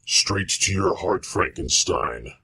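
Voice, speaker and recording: A deep rough monster voice, super slow n menacing, horror audio drama. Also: male voice, animated, pushed and bright, heavily acted. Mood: gloating. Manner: slow, ominous, deliberate delivery. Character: menacing male monster, vengeful creation tormenting its maker. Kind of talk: amateur radio-play style horror acting.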